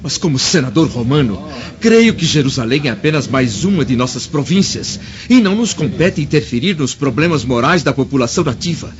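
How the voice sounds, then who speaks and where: An actor playing a proud, troubled Roman senator in a radio drama, speaking formally like an orator. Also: male voice, mid-range voice, animated, fairly quick, close to an everyday voice. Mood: troubled, disdainful. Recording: dull recording with faint noise.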